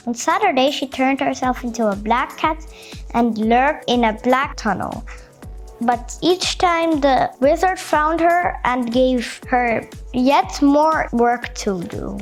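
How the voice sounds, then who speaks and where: A woman reading a storybook aloud to children, with expressive, carefully phrased narration. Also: sits high, slow, faint music behind.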